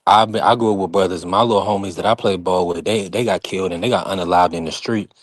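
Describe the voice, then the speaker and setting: A low-voiced man from North Carolina hosts a live boxing stream, speaking firmly and a little preachy. The sound is dry and close, with no room around it. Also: steady tone, fast, no echo. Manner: firm, preachy, talks over others. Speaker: channel host. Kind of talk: heated casual live-stream chat.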